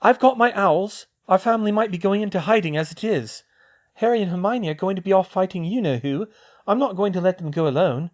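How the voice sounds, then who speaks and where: A man voicing a fierce, loyal teenage witch. The voice is lifted high and has a lively pitch range, and she talks firmly and insistently. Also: fairly quick, muffled recording. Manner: firm and insistent.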